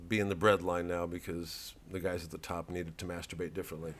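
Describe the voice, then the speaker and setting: A man's voice: low voice, level tone, a little fast, fairly bright tone. A middle-aged male comedian with a hesitant, sardonic, profane way of talking, rambling through a promotional monologue. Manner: hesitant, sardonic and profane, full of filler and restarts.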